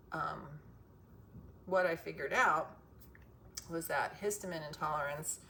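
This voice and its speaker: Gravelly, strained-voiced woman vlogger. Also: lowish voice, fairly bright tone, slight background noise. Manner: profane, emphatic, goes off on tangents. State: voice strained from loud singing. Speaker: wellness vlogger sharing a self-cure. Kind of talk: casual, rambling advice vlog.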